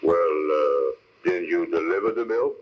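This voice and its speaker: Low voiced skeptical cab driver, nosy and folksy, old dull sounding 1940s radio. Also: male voice, animated, a little slow. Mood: questioning. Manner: doubting, questioning, folksy. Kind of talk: 1940s radio comedy acting.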